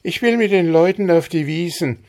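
A man's voice: a little high, normal speed, a little mellow, voice pushed up, lightly acted. A man voicing a young farm girl in a storybook reading, eager and secretive, with a lifted, light voice.

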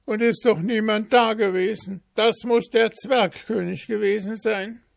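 A man's voice: sits high, fairly even intonation, a little slow, creaky, dry recording, studio quality, voice pushed up. A man voicing frightened, wailing servants in a storybook reading. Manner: wailing, complaining.

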